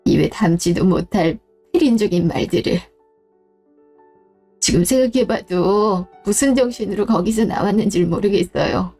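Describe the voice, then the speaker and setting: A woman's voice: medium pitch, moderate pace, warm tone, faint music behind. A woman telling a family story in the first person, in a subdued, somber way. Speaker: narrator.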